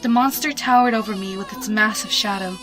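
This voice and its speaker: A woman's voice: medium pitch, fairly quick. Woman narrating suspense over music. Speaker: fan fiction reader. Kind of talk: dramatic suspense story narration. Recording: music behind the voice.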